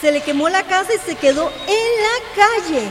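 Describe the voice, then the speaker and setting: A caring, anxious girl in a radio drama. Her voice is extremely high, echoing in a hall over prominent music. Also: expressive, moderate pace. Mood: concerned. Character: alarmed, caring young girl bringing news.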